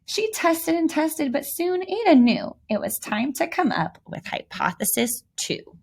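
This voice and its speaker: A woman's voice: high voice, sing-song, a little slow, gravelly. A woman reading a children's picture book aloud with theatrical emphasis and little asides to young listeners. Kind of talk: expressive read-aloud storytelling.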